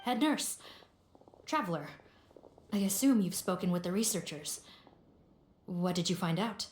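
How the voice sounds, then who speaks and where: A woman playing a composed, perceptive law officer in a video game, speaking formally and deliberately. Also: lowish voice, lively intonation, a little mellow, reverberant. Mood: businesslike.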